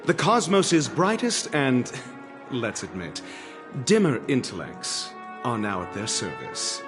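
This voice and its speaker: A man's voice: medium pitch, very animated, slow, over music. A man playing a self-assured, theatrical scholar envoy in a video game, with a pompous, polished way of talking. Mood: confident, haughty. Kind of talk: scripted game dialogue. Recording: long hall-like reverb.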